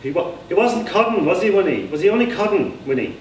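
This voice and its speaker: Man playing simple young Irish man, eager, anxious, halting stammer, raised pitch, roomy echo. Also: sing-song, darkish tone. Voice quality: stammering, slurred speech. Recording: roomy, distant mic with background noise.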